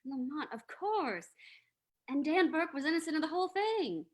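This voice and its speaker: A detective's flirtatious sidekick played by a woman in a vintage radio mystery. Her voice is fairly high, and she is coy, playful and inquisitive. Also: very animated, normal speed. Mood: curious. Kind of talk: old-time radio drama acting.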